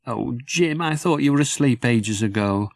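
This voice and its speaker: A man voicing a sensible, bossy girl rag doll, brisk and easily cross, in a children's storybook. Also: medium pitch, lively intonation, gravelly, dry recording, studio quality.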